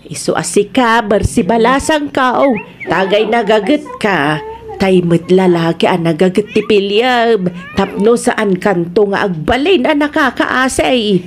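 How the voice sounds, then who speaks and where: A woman's voice: mid-range voice, expressive, a little slow, lightly acted. A woman with an Ilocano accent playing a scolding, teasing, sighing mother of a grown son in a comedy radio drama. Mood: chiding. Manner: nagging, sighing, exclamatory.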